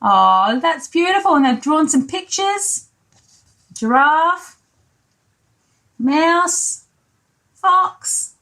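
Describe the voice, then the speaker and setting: A woman's voice: high-pitched, lively intonation, extremely slow, pauses often, bright, forceful tone. A woman reading a picture book to young children as a pre-school teacher, adding simple asides about the pictures. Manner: child-directed, with tag questions and commentary on pictures.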